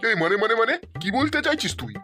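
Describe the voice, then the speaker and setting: An older male cartoon schoolteacher acted by a man rattles off sarcastic scoldings at a quick clip. He is fed up and repeats himself, with faint music underneath. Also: high-pitched, wildly swooping pitch, sharp tone, exaggerated voice. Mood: indignant. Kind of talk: comedy cartoon voice acting.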